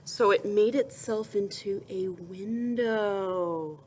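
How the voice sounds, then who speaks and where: A woman's voice: medium pitch, noisy background. A woman reading a picture book aloud to young children like a teacher, expressively, with questions and asides. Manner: expressive storytelling, pausing to ask questions and answer softly.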